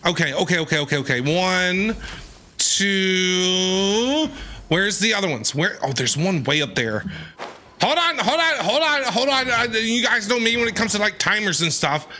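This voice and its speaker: A man giving excited game stream commentary, exclaiming and repeating his phrases in a panicky way. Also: high voice, moderate pace, slight background noise. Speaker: streamer playing and reacting to a video game.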